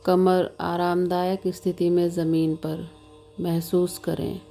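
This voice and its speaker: A woman leading a guided yoga nidra meditation as the instructor, giving calm, measured, soothing instructions with long pauses between them. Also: on the low side, vocal fry, faint music behind. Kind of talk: slow guided meditation narration.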